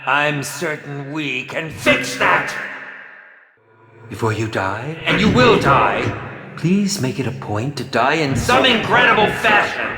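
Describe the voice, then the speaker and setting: An actor voicing a pompous, campy, sadistic horror film director for a video game, with condescending, drawn-out theatrical delivery. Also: male voice, a little high, sharp tone, roomy sound, big-room sound, heavily acted. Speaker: game announcer.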